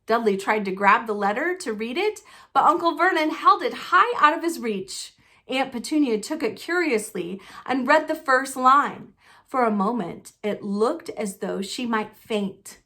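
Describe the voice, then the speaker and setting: A woman narrating a children's novel in an expressive read-aloud style. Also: fairly high pitch, measured pace.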